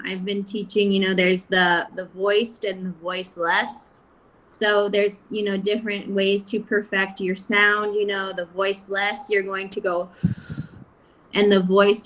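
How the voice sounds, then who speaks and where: An American woman giving relaxed, chatty advice on a video call and filling her talk with "you know.". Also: mid-range voice, rough recording. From United States. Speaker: guest speaker. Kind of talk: casual video-call chat.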